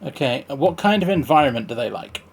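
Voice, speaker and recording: A man's voice: medium pitch, animated, fast talker. A man giving casual gameplay commentary, thinking aloud and muttering to himself as he plays. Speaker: streamer playing a park-building game.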